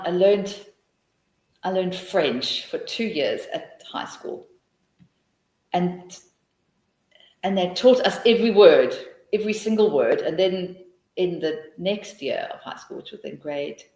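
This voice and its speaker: South african woman, middle-aged, low voice, rambling webinar answers, roomy echo. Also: lively intonation, measured pace, pauses often, a little mellow. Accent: South African English. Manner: rambling, thinking aloud with many restarts. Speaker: teacher trainer. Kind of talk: webinar talk answering questions.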